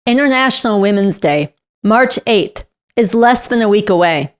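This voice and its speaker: A woman's voice: mid-range voice, animated, normal speed, slight background noise. A woman making a rousing, declamatory political announcement that rallies listeners.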